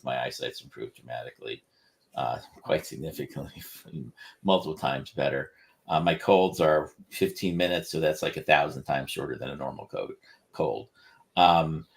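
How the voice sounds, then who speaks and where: A man explaining his inventions on a casual livestream, rambling and halting with many restarts. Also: very deep voice. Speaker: inventor presenting research.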